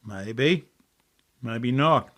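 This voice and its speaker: A man plays a wise old Australian grandfather in a storybook tale. He is calm and accepting, speaking slowly in grave, short, plain statements that he lets settle. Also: mid-range voice. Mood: gently musing.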